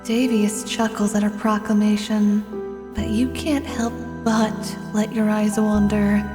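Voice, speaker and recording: A woman's voice: mid-range voice, little pitch movement, a little slow, over music. A woman storyteller and voice actor who narrates fantasy and chats to her listeners, recorded close up. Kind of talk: scripted fantasy narration and casual chat to listeners.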